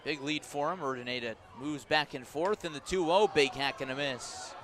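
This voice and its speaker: A man doing live radio play-by-play of a baseball game, descriptive and unhurried between pitches and punchier on the action. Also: background noise. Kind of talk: live radio sports commentary.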